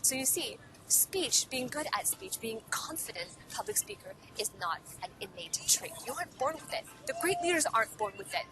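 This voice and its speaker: A woman's voice: a little high, big pitch swings, moderate pace, low-quality recording. Earnest woman coaching over music. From Hong Kong. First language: Cantonese. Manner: earnest, full of rhetorical questions to the viewer. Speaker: public speaking coach. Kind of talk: motivational video lesson. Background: background music.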